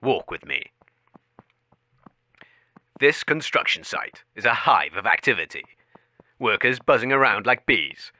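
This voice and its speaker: A man's voice: mid-range voice, very animated, a little slow, pauses often, sharp tone, no room sound, heavily acted. An actor, a man, playing a self-important, deluded investigative crusader, declaiming in a radio comedy. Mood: solemn. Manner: grandiose, declamatory. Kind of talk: melodramatic radio report parody.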